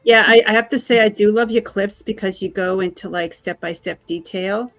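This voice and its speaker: A woman hosts a casual online chat, her mid-pitched voice carrying a gravelly fry. She asks her guest questions in a friendly, talkative way. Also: normal speed, a bit bright. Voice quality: calls her own voice squeaky. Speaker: host.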